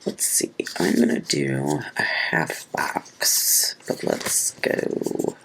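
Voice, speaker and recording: A woman vlogging about her planner, speaking tearfully and haltingly, repeating words, with a cold and sniffling. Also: background noise. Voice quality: husky, gravelly. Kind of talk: tearful personal storytelling to camera.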